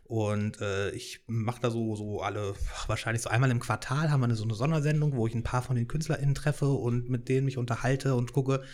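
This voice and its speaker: A man in his thirties, a podcast host from Hannover, talks freely in a casual monologue. He rambles, restarts sentences and fills pauses with small hesitations, at a medium pitch. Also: fairly even intonation, a little mellow. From Hannover, Germany. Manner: rambling, many fillers and restarts.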